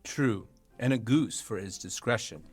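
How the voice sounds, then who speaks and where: A young man playing an amused, courtly duke in a radio play of Shakespeare, speaking in a wry, formal, measured way. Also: lowish voice, breathy edge, soft background music. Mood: wry amusement.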